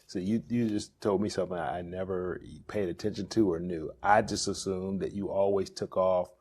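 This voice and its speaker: Low-voiced man interviewing casually. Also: fairly even intonation, normal speed, darkish tone, breathy edge. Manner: attentive, frequent short backchannels. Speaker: interviewer, podcast host.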